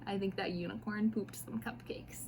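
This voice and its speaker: A woman reading picture books aloud to children in an expressive, playful way, talking directly to her young listeners. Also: mid-range voice, moderate pace, a little hiss or hum, rough recording. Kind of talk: animated storybook read-aloud.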